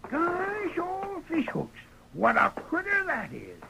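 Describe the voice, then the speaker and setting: Shrill, cantankerous old prospector. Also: male voice, huge pitch swings, slow, heavily acted. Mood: stubborn. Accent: American frontier Western. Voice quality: cracked, creaky old-timer voice. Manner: folksy, blustering frontier talk. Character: cantankerous old male prospector, proud and fiery. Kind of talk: 1930s Western radio acting. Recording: noisy 1930s radio recording.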